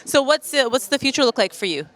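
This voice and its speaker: A woman's voice: high-pitched, animated, fast, fairly bright tone. A woman interviewing guests casually at a festival for television, with an effusive, encouraging manner.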